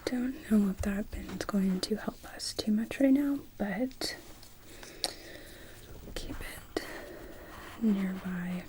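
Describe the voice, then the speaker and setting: A woman performing an ASMR medical roleplay as a doctor, gently narrating each step in a soft, breathy whisper, close to the microphone. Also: medium pitch, slow pace, long pauses, a little hiss or hum. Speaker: ASMR performer playing an emergency room doctor.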